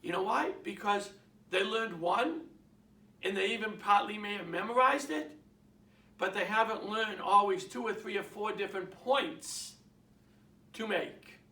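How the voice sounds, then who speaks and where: A man with a Boston accent coaches real estate agents, pressing his points again and again and throwing questions at his listeners. His voice sits high and sounds bright and forceful. He is recorded from a distance in a roomy, echoing space. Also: measured pace. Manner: emphatic, repeats points, asks the audience questions. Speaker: real estate sales trainer. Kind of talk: motivational sales talk. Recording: roomy, from off the mic.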